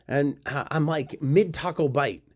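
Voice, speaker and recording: A man's voice: a little high, measured pace. Middle-aged male host, casual storytelling, self-mocking, rambling delivery with frequent fillers. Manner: rambling, self-deprecating, many fillers and asides.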